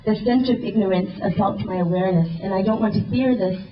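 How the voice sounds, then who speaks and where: A woman's voice: mid-range voice, a little fast, muffled recording. Young woman, spoken word poet, earnest rhythmic delivery, mid-range voice, distant and roomy live hall recording. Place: indoor event hall.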